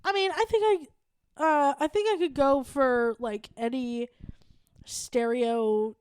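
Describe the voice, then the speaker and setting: Woman, 30. Loose, rambling comedy co-host who laughs and swears. Higher voice, dry close recording. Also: fairly even intonation, a little slow, darkish tone, smooth, no room sound. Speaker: podcast co-host. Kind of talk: casual comedy chat.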